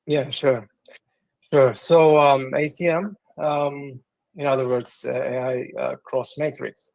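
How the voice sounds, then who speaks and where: A man from Turkey answering interview questions in a live audio session. He pauses often on ums and uhs as he explains. His voice has a gravelly, fry-tinged texture, heard dry and close with no room sound. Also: mid-range voice, little pitch movement, a little mellow, no echo, low-quality recording. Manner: hesitant, many ums and uhs. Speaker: guest, project business development director. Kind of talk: casual livestream interview.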